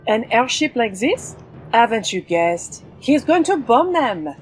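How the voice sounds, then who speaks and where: A woman's voice: a little high, sing-song, measured pace, soft background music, poor audio. A woman acting a haughty swashbuckling heroine, wry and commanding, in an audio drama. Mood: teasing.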